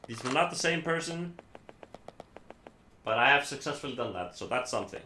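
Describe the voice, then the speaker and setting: A man from Iceland with an Icelandic accent, a native Icelandic speaker, chatting casually as a game streamer in a halting, rambling, self-correcting way. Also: mid-range voice, expressive, pushed and bright. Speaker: live streamer playing games. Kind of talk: casual chat while gaming. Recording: echoey room, faint background noise.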